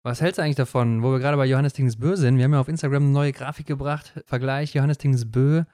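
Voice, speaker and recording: Male co-host with a western German border accent, chatty, crisp voice, dry close sound. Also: medium pitch, steady tone, quick pace, mellow, no room sound. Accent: German, western border region. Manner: chatty, often ends with a tag question. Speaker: podcast co-host. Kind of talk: casual sports chat.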